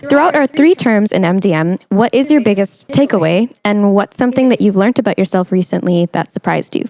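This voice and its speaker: Young woman podcast host, casual supportive chat, medium pitch, dry sound. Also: animated, brisk, darkish tone, dry recording. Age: young adult. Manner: encouraging, affirming.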